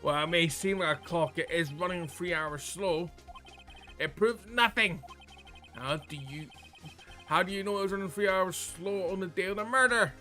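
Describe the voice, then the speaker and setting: A man's voice: high voice, moderate pace, bright, forceful tone, soft background music. A man voicing a smug male prosecutor in a courtroom video game, taunting and challenging his opponent.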